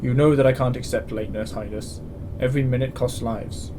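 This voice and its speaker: A man's voice: medium pitch, steady tone, brisk, close to an everyday voice. A man playing a stern, exasperated military commandant in a science-fiction audio drama, speaking with curt authority. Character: stern, exasperated male space station commandant. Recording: clear background ambience noise.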